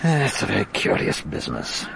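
A shrewd senior detective in a radio drama. He is low and gravelly, courteous and patient as he questions, with clear background noise on the recording. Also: huge pitch swings, exaggerated voice. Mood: thoughtful, shrewd. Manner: measured, gently probing, authoritative.

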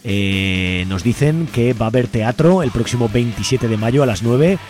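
Un hombre de España que presenta un programa de radio comunitaria de forma informal y juguetona, con anuncios y despedidas. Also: male voice, mid-range voice, animated, moderate pace, a little mellow. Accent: Spain. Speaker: community radio host. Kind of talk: radio show announcements and sign-off over music. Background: music playing behind the voice.

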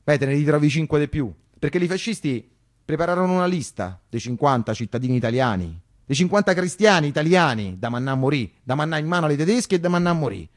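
Male actor-narrator, Roman accent, fairly high pitch, theatrical storytelling in dialect, emphatic repetitions. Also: animated, fast talker. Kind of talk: theatrical first-person storytelling monologue in colloquial dialect.

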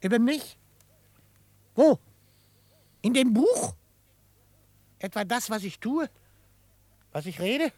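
A man playing a quirky old frontier trapper in a radio drama, excitable and grumbling, gruff but fond, with a crackly voice. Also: very high voice, slow, long pauses, no room sound, full character voice. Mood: incredulous, grumbling. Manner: excitable, grumbling, chuckling, theatrically indignant. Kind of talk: German radio drama acting.

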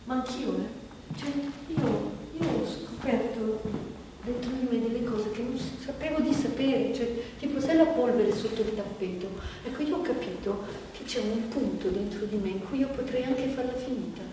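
Restless, weary woman played by a stage actress, highish voice, halting confessional monologue, recorded far off in a reverberant hall. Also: natural voice. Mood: wistful. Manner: halting, repeating herself, thinking aloud. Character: woman performer confiding in her audience, restless and weary. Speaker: stage actor. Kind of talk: theatrical monologue, conversational. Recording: echoey hall from far off, faint noise.

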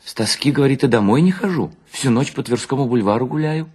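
A man playing a chatty, gullible husband in a story reading, animated and scoffing as he gossips. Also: medium pitch, quick pace, slightly rough, pitched above the performer's own voice, natural voice. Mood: gossipy. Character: chatty, gullible husband mockingly quoting his wife's admirer.

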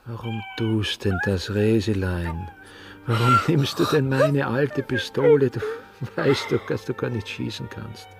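A man's voice: mid-range voice, wildly swooping pitch, unhurried, vocal fry, full character voice. An actor playing a bitter, world-weary older man of the theatre in a radio drama, colloquial and sardonic, with an Austrian accent. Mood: bitter, tender.

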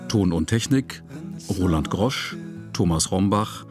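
A man reading scripted radio play credits as an announcer, measured and formal. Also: deep voice, expressive. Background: plucked guitar music.

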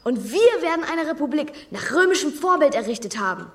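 A woman's voice: high-pitched, very animated, brisk, recorded from a distance. A woman playing a precocious, insolent schoolboy in a radio drama, clever, cheeky and defiant, prone to stammering. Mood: cool defiance.

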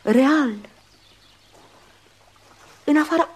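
An actress playing a dreamy, yearning woman in a radio play, speaking in a musing way with pauses. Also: high-pitched, darkish tone, lightly acted. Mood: entranced, hushed. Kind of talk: Romanian radio theatre acting. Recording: noisy old radio drama recording.

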